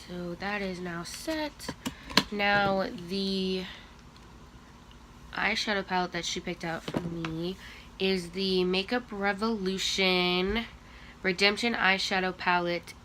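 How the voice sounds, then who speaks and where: A young woman speaks slowly and chattily, as if walking viewers through her makeup while she works. Her voice is a little sniffly from allergies, and a clear layer of background noise and hiss runs under it. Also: fairly low pitch. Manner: slow, chatty, talking while applying makeup. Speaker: beauty vlogger. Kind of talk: makeup tutorial talk.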